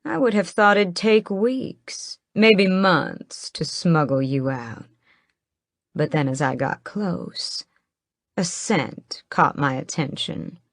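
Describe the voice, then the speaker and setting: A woman's voice: on the low side, no echo, studio quality. A woman doing a drawling sinister vampire man. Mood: mocking. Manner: drawling, mocking, unhurried. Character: languid sinister male vampire captor, drawling.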